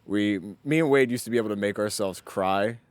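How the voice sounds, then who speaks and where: Self-deprecating, rambling man, low dry voice. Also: fairly quick, clean tone, no room sound. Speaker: podcast co-host. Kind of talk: casual banter and storytelling.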